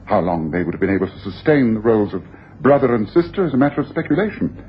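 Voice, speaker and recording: A man's voice: lowish voice, very animated, fast. A self-assured detective with a theatrical, domineering delivery. His voice swings widely as he makes his points, in a dull, noisy old radio broadcast. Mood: triumphant, incisive. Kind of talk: 1980s radio drama acting.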